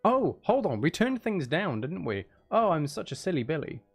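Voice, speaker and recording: A man's voice: fairly high pitch, sing-song, normal speed, soft background music. A man who streams games, chatting casually and rambling in a self-deprecating way. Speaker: game streamer and audiobook narrator. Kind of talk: casual livestream chat and game commentary.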